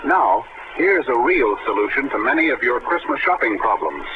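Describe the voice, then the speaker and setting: A man's voice: medium pitch, very animated, moderate pace, music in the background. A man announcing a 1940s-style radio advertisement on a noisy, narrow vintage recording.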